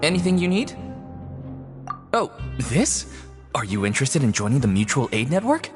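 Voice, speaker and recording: A man's voice: mid-range voice, very animated, moderate pace, over music, lightly acted. A man voicing a friendly member of a small, old mutual aid society who earnestly tries to recruit newcomers. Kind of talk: video game voice acting.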